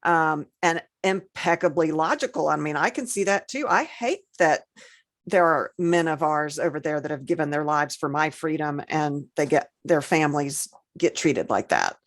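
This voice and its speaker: A woman's voice: low voice, moderate pace, no room sound, clean studio sound. A woman co-hosting a podcast, chatting casually and retelling examples with emphasis. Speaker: podcast co-host. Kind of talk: casual chat, recounting film examples.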